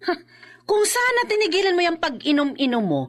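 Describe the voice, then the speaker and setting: A woman playing a nagging, exasperated wife in a radio drama, scolding with a sharp tongue. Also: very high voice, big pitch swings, fairly quick. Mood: exasperated, accusing. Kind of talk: emotive radio drama acting.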